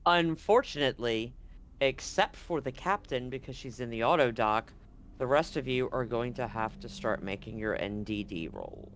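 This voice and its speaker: A man's voice: mid-range voice, animated, moderate pace, fairly bright tone, a little hiss or hum. A man acting as game master, casually narrating a tabletop roleplaying game with bantering, rambling asides.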